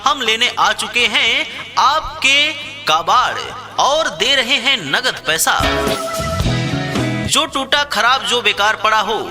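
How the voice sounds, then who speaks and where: A man delivers a scripted street hawker's advertisement in a high-pitched voice. He calls out short, repetitive sales phrases at a slow pace, like an announcement meant for a loudspeaker cart. Music plays clearly behind him. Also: lively intonation. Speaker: street announcer for a scrap buyer. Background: background music.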